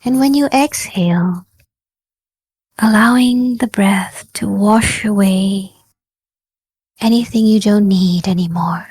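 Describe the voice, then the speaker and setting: A woman guides a meditation at a very unhurried pace. Her voice is soft-edged and mellow, recorded close and dry. She speaks gently and calmly, encouraging the listener with pauses between short phrases. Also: medium pitch, slightly rough, dry recording, rough recording. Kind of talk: guided body scan meditation.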